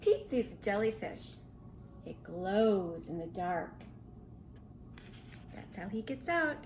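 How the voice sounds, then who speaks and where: A woman reading a picture book aloud to young children in an expressive, questioning way. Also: medium pitch, takes it slowly, a little hiss or hum. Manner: expressive, asks the listener questions. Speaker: children's storybook reader.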